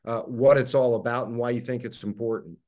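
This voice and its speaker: Halting middle-aged man hosting a video call. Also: mid-range voice, fairly even intonation, a little mellow. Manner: halting, many fillers and restarts. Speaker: host, organization president.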